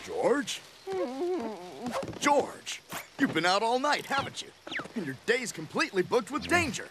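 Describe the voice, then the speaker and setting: A man's voice: very high-pitched, very animated, a little slow, background noise, exaggerated voice. A man voicing a sensible, articulate ape in a cartoon, with a dry, chiding, exasperated manner. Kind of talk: animated cartoon acting.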